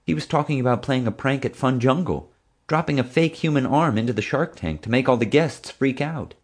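Casting: man playing earnest, chatty schoolboy nerd for storybook narration, pitched well above his deep natural voice. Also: brisk, husky, lightly acted. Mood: hurried, helpful. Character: eager, nerdy schoolboy animal-park fanatic.

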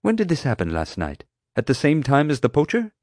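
A man's voice: medium pitch, wildly swooping pitch, a little fast, a little mellow, no echo, clean studio sound, pitched above the performer's own voice. A man voicing an earnest, courteous male park ranger who argues politely for the animals.

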